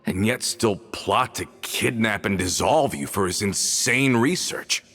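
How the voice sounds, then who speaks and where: A man's voice: on the low side, animated, measured pace, fairly bright tone, slight background noise. A man voicing a loyal crew member just back home, earnest and incredulous in how he talks. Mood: indignant. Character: man returning home to his old crew, loyal and shaken. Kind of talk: video game voice acting. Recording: game audio with music.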